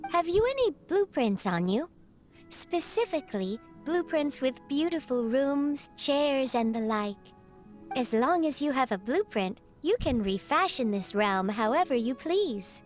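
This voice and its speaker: A woman's voice: high-pitched, big pitch swings, a little slow, soft background music. A woman playing a small, courteous teapot spirit butler in bird form, formal and slightly absent-minded, in a video game. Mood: courteous, instructive. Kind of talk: video game character dialogue.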